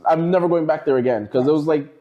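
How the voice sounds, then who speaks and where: A man's voice: fairly high pitch, quick pace, lo-fi sound. Laid-back guest man telling stories. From Trinidad background. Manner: laid-back storytelling, laughs easily. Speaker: podcast guest. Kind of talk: casual podcast chat.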